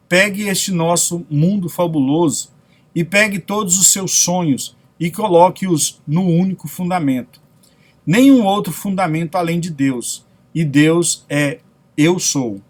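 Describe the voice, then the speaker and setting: A man with a Brazilian accent reading a spiritual self-help lecture aloud as a narrator. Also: high-pitched.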